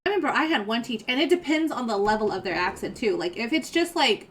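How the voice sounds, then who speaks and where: Rambling young woman with a slightly high voice. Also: animated, fast talker, fairly bright tone. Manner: rambling, storytelling, many fillers. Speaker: podcast co-host. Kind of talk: casual chat.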